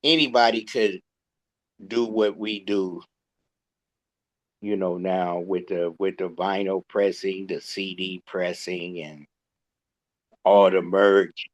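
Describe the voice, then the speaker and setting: A man talking casually as a co-interviewer, halting and rambling as he goes. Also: deep voice, little pitch movement. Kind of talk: casual conversation.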